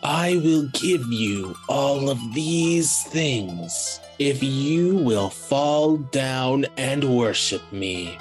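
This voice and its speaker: A man voicing the devil as a sly tempter in a dramatic scripture reading, with slow, drawn-out, deliberate delivery. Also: mid-range voice, lively intonation, faint music behind. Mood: tempting.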